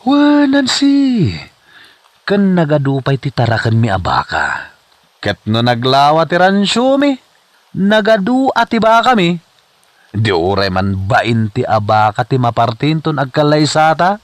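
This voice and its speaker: A man acting an excitable, swooping Ilocano suitor. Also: fairly high pitch, a little slow, no echo. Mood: boastful wooing. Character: eager, nervous boastful suitor courting a young woman. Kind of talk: Ilocano comedy radio drama acting.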